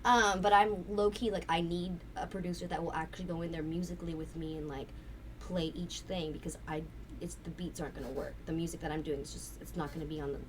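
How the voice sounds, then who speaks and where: Raspy fast-talking woman guest. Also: lowish voice, steady tone. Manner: rambling, candid, swears casually. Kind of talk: casual interview chat. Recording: distant mic with faint noise.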